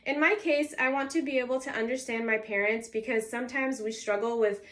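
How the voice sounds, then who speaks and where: A woman's voice: fairly high pitch, steady tone, brisk, a bit bright. A woman of twenty-one, raised in California with Arabic as her first language, reading a prepared introduction speech for a college class in a structured, signposted way. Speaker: college student.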